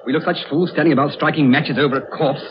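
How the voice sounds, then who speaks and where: High-pitched, impetuous young man played by an actor. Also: fast, close to an everyday voice. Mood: impatient, irritated. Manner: excitable, blurting, impatient. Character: impetuous, agitated, impatient young Englishman. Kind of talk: 1930s radio drama acting. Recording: muffled, noisy 1930s radio recording.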